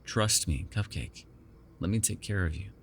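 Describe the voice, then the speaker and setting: A man's voice: low voice, moderate pace, a little hiss or hum. A man playing a sardonic, prickly but secretly caring vampire in an ASMR roleplay, with a teasing manner. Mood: soothing, hypnotic. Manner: teasing, sarcastic, breaking into exasperation and soothing murmurs.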